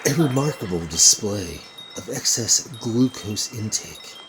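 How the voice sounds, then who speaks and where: A man's voice: fairly low pitch, animated, unhurried, noisy background. A man playing a pedantic, unflappable scientist in a sci-fi audio sitcom, with pompous, precise, clinical phrasing. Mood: clinical fascination.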